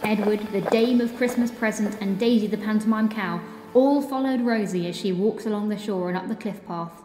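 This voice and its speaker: A woman's voice: medium pitch, moderate pace, warm tone. A woman narrator telling a theatrical, rhyming story in an audio drama. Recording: echoey, long reverb, background ambience noise.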